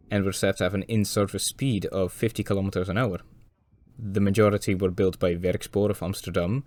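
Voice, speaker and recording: A man with a slightly hoarse voice from a cold, narrating a scripted railway documentary with dry, wry asides. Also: sits low, a little mellow. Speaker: railway video presenter.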